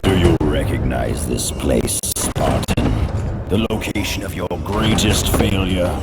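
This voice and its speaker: An actor playing a vengeful, gloating god of war in a video game, with slow, grandiose, menacing taunts and a deep, gravelly voice. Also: male voice. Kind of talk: dramatic video game villain acting. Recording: loud music over the voice.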